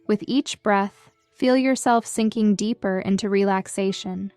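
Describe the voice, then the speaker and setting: Female meditation guide, smooth gentle delivery, measured scripted read, dry sound with music underneath. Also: mid-range voice, no room sound. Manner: soothing, measured, gentle instruction. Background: background music.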